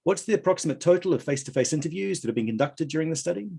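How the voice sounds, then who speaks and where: Gravelly-voiced man hosting a quiz. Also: on the high side, expressive, mellow. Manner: reads options aloud in a measured list. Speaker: quiz host at an online conference. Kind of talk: reading out quiz questions.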